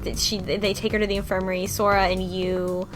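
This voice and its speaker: A woman's voice: mid-range voice, flat delivery, brisk, faint music behind. A woman in a casual group discussion, animatedly retelling a story with lots of "like". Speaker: podcast guest.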